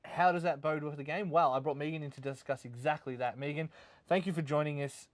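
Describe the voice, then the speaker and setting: An Australian man hosting a video game review show in casual, unscripted chat, speaking haltingly and repeating words as he goes. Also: on the high side, steady tone, no echo.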